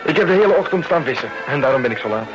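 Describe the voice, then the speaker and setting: A man's voice: medium pitch, lively intonation, racing, dull audio, over music, natural voice. A man playing an easygoing, chatty businessman in radio drama dialogue. His voice sits in a middle register. He talks affably and conversationally. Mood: affable. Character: easygoing, chatty New York businessman on holiday.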